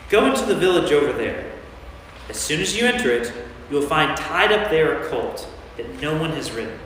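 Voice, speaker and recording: A man acts out a commanding male teacher and healer from scripture. He speaks deliberately and with authority in a staged reading, his words ringing with heavy echo and long reverb. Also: a little high, sing-song, a bit bright, a little hiss or hum. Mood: instructing. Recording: very echoey church hall.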